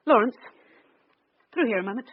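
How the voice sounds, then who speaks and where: A woman's voice: fairly high pitch, lively intonation, normal speed, breathy edge. An actress playing a shrewd female English police detective, direct and wry, in a naturalistic radio drama. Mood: stern, probing. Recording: dull lo-fi radio broadcast, faint noise.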